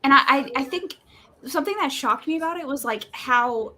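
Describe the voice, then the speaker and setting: A woman's voice: high-pitched, expressive, brisk, sharp tone. A woman co-hosting a live readalong show, talking casually and informally about books. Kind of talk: casual book chat.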